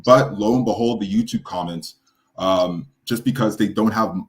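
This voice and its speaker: A man with a deep voice chatting on a live stream about a debate he took part in. He speaks haltingly, with many restarts and fillers, and sounds earnest. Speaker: debate participant reacting to his own debate. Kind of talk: casual live-stream chat.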